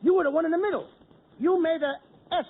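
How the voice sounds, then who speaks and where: Squeaky high-voiced wisecracking radio comic. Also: male voice, fast talker. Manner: brash wisecracking, sudden shouts. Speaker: comedian. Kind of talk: 1940s radio comedy. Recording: noisy old radio broadcast, narrow band.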